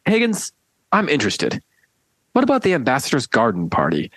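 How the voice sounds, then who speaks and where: A woman playing a polite, mild-mannered gentleman army captain. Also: very deep voice, huge pitch swings, a little fast, heavily acted. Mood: courteous. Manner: courteous, mild.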